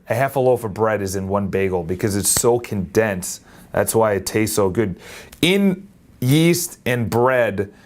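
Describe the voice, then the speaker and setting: A man in his late twenties talking casually into his phone camera, energetic and rambling, often restarting his sentences. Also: lowish voice. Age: 20s. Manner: energetic, rambling, self-correcting. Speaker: vlogger.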